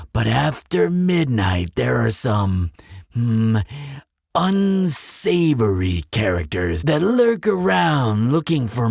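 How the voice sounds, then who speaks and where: Man playing a slow, silky, slyly courteous demon concierge. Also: mid-range voice, vocal fry, a little mellow, no room sound, clean studio sound, full character voice. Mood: polite, sly. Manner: unhurried, polite, coaxing salesmanship. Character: smooth-talking male demon hotel receptionist, courteous and slyly persuasive. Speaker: resort front-desk clerk. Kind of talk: scripted audio roleplay addressed to the listener.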